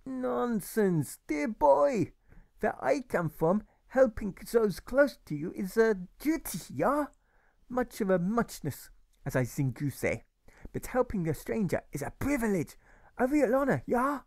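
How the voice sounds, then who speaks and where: A gentle, gracious German shopkeeper, put on by a man, speaks with a German accent in a raised, lilting voice. His manner is polite and earnest, with a habit of asking yeah. The sound is dry and close. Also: very high voice, big pitch swings, measured pace, creaky, dry recording, pitched above the performer's own voice, heavily acted. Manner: gentle, courteous, says yeah often.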